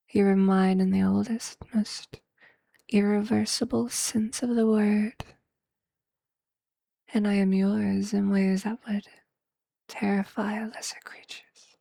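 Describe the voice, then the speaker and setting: An obsessive yet tender vampire lover, performed by a man, murmurs an intimate ASMR monologue. The voice is androgynous and very soft-edged, slipping often into whispers. It is dark and mellow, stays nearly level in pitch, and sounds close and dry. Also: dry recording, exaggerated voice. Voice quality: breathy, creaky, often whispered. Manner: slow, deliberate, hushed, seductive. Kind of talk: ASMR romantic roleplay, intimate scripted monologue.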